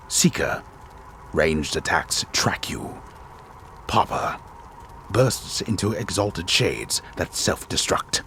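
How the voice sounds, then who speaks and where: Game storyteller: disembodied, grave, theatrical man, deep register, animated melodic phrasing, formal scripted reading. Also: a little hiss or hum, full character voice. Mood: solemn, deliberate. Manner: grave, measured, theatrical delivery of dry text. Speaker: game narrator. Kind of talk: dramatic reading of scripted patch notes.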